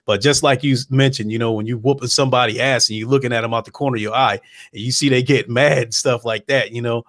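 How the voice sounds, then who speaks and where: A man hosting an interview, talking in a relaxed way with many hesitations. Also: mid-range voice, fast talker, no echo, clean studio sound. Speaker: host. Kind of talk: casual interview.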